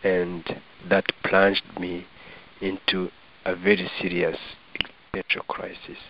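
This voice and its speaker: A middle-aged man with a Rwandan accent giving reflective personal testimony as an interview guest, earnest and measured, in formal phrasing, on slightly dull audio. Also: sits low, completely flat delivery, slight background noise.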